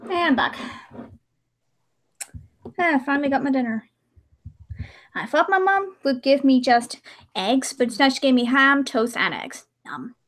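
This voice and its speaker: Very high-pitched young woman, dramatic livestream reading. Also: expressive, measured pace, long pauses, a bit bright. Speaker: livestream host, story writer. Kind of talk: casual livestream chat with dramatic reading of a story.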